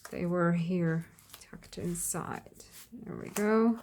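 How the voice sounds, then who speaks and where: A woman whose first language is Slovak, giving an unscripted flip-through of a handmade craft journal in a hesitant manner, often pausing and searching for words. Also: lowish voice, darkish tone, slight background noise. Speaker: craft vlogger.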